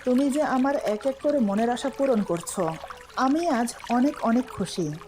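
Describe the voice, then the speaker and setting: Devoted young husband read by a female narrator, romantic audio story, affectionate, coaxing, high register, light water noise behind. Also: lively intonation, a little slow, darkish tone. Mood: tender. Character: devoted, teasing young husband in love with his wife.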